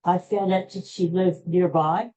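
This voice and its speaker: The audio is dull and lo-fi, carrying the very soft, dark-toned voice of an older man with an American accent. He hosts a casual storytelling podcast, wandering through his sentences with halting starts and a self-deprecating manner. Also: high voice, fairly even intonation. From Pennsylvania, USA. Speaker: podcast host.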